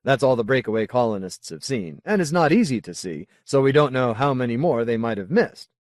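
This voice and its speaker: A man voicing a solemn, zealous colony leader in an audiobook, speaking in a formal, deliberate, oratorical way. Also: mid-range voice, expressive, no echo, studio quality, pitched above the performer's own voice, lightly acted. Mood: explanatory, firm. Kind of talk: audiobook character dialogue.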